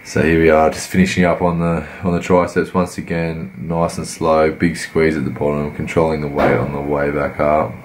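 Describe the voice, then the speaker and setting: A man, croaky from a cold, giving laid-back, rambling voice-over commentary on his workout. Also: rumbling low, little pitch movement, normal speed, few pauses, slight background noise. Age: 20s. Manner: laid-back, rambling, many fillers and restarts. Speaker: fitness vlogger.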